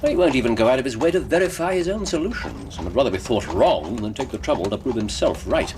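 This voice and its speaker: A man performs a self-assured English gentleman detective in a radio drama. He lectures with precision and theatrical emphasis, his voice swooping up and down over clearly audible background noise. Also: mid-range voice, animated, fast, natural voice. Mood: emphatic.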